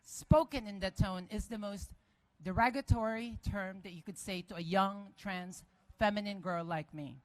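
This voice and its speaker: A woman from the Philippines with a Filipino accent, giving a lively, personal speech at an outdoor march. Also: mid-range voice, moderate pace, no echo. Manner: enthusiastic, personal. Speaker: guest speaker at a march. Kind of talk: rally speech. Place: outdoor rally.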